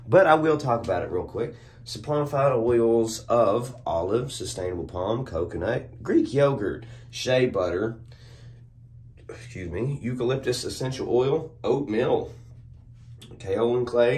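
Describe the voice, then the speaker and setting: A young man with a hoarse voice reviewing soap in a casual, laid-back way, recorded on a phone camera at arm's length in a small room at home. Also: medium pitch. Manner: laid-back, chuckles at his own jokes. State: hoarse, losing his voice. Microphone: phone or webcam at arm's length.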